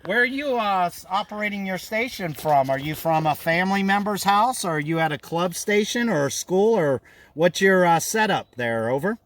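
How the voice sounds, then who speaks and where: American guy in his 50s, voice a bit on the high side, friendly folksy ham radio chat, real casual. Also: expressive, normal speed, fairly bright tone. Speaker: amateur radio operator. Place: outdoors on a mountain trail.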